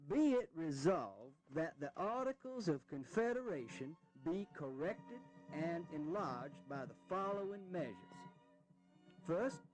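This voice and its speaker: Casting: earnest period governor, formal orator, swinging intonation, music underneath. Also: male voice, a little high, animated, unhurried, poor audio. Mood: declamatory. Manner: declamatory, formal. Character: formal, earnest Virginia governor addressing fellow delegates. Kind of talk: historical audio drama oratory.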